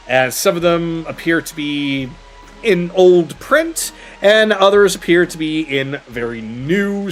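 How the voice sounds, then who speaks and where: A man with a fairly high voice narrates a tabletop roleplaying game as game master. He feels out his descriptions as he goes, pausing to think aloud. Soft music plays behind him. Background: background music.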